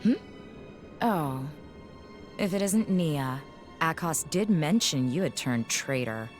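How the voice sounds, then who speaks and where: A woman's voice: sits low, lively intonation, slow. A woman playing a cold, contemptuous, menacing villainess in a game cutscene, with a bored, disdainful drawl. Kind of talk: video game cutscene acting. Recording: music behind the voice.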